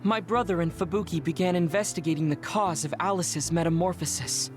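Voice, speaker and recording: A woman playing a calm, serious young officer in a video game, polite and matter-of-fact. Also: on the low side, fairly quick, lightly acted. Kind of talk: English video game voice acting. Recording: clear music underneath the dialogue.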